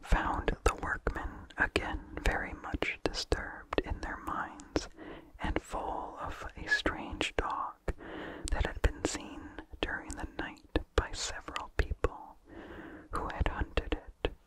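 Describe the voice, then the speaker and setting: An ASMR narrator whispers an old horror tale without any voicing. The delivery is very unhurried and deliberate, each phrase set down carefully. The breathy whisper sits close to the microphone, with small mouth sounds. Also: poor audio. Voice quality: breathy, fully unvoiced whisper. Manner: slow, measured, deliberate phrasing. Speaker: narrator reading a story aloud. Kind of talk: whispered ASMR reading of a vintage horror story. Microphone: close microphone with incidental mouth sounds.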